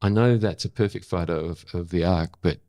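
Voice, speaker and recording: A man's voice: sits low, fairly quick, mellow, dry recording, clean studio sound. A middle-aged or older man talking casually as an interview guest, reflective and often searching for the right word. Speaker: interview guest, photographer and former broadcaster.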